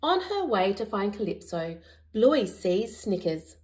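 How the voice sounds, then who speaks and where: A woman reads a picture book aloud to young children. Her delivery is very slow and deliberate, with expressive storytelling at a mid-range pitch. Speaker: educator reading picture books aloud. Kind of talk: scripted children's story narration.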